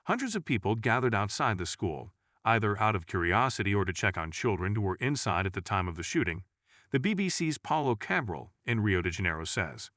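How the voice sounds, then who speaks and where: A man narrates a scripted news report in a fairly low voice. His intonation moves widely up and down as he reads. The recording is very dry, with no room sound. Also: sing-song, fairly quick, fairly bright tone, no room sound.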